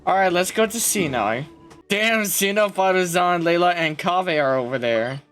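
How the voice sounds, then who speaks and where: A man's voice: high voice, expressive, normal speed, a bit bright, faint music behind. A man giving casual, informal reactions and commentary as he plays a video game. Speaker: streamer playing a video game.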